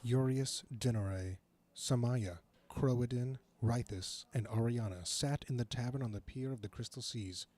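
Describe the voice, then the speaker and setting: A man playing the composed narrator of a fantasy audio drama, measured and formal in scripted delivery. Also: mid-range voice, dark tone, close to an everyday voice.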